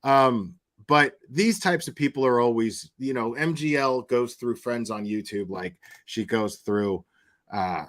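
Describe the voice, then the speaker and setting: A man's voice: medium pitch, measured pace, a bit bright. A man giving casual online opinion commentary in a rambling, blunt and crude way, swearing freely. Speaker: YouTube commentator.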